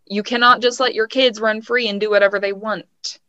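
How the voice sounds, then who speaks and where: A young woman with a bright, sharp voice talks frankly and a little exasperated. The recording is dull and lo-fi, and there's no room echo at all. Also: medium pitch, fairly even intonation, moderate pace. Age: young adult. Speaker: haunted house general manager. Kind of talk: casual interview chat.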